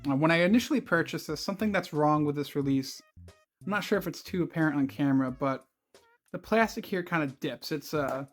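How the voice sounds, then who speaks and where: A man hosting his own channel, with a scratchy, strained voice, talking in a relaxed and chatty way about things he collects. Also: on the high side, a little fast, soft background music. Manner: relaxed and chatty, with filler words and light laughs. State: scratchy voice from concert screaming. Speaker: channel host. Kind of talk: casual video review chat.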